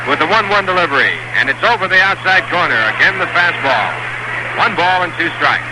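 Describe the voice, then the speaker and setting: A male announcer giving steady, measured play-by-play of a baseball game on a 1960s radio broadcast, rising when the action picks up. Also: high-pitched, noisy background. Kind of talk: live baseball radio commentary. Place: ballpark broadcast booth.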